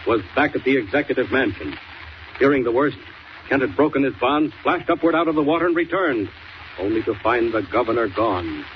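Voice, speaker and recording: A man's voice: medium pitch, natural voice. A man narrating a 1940s radio adventure serial in a grand, emphatic, theatrical announcer's style. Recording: muffled, hissy 1940s radio recording.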